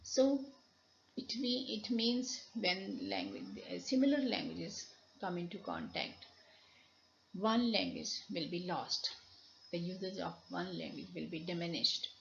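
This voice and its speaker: Middle-aged woman, Pakistani accent, native Punjabi speaker, lower-pitched creaky voice, explanatory lecture, dull lo-fi home recording. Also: big pitch swings, measured pace, slight background noise. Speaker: university lecturer. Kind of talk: slide lecture, reading and explaining.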